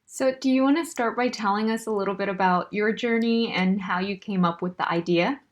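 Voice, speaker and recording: A woman's voice: medium pitch, a little fast. A woman co-hosting a podcast, asking the guest friendly, conversational questions. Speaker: podcast co-host. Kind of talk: casual interview questions.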